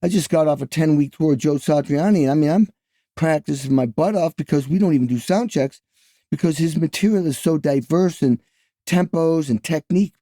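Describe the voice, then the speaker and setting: A man's voice: a little high, a little fast, mellow, no echo. A man hosting a podcast interview, talking in a casual, emphatic and enthusiastic way. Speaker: podcast host, drummer.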